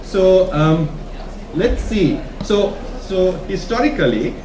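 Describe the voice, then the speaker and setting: A man with a Sri Lankan accent giving an informal conference talk, often checking in with his listeners with short questions. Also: fairly high pitch. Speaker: conference speaker. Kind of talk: informal presentation to an audience. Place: conference room, talk to a live audience. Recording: echoey room from far off, noisy.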